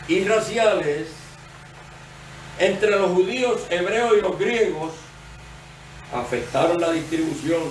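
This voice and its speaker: A man with a Puerto Rican accent preaching a sermon as associate pastor, in a measured, explanatory way. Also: a little high. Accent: Puerto Rican Spanish. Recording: roomy, distant church recording with faint noise.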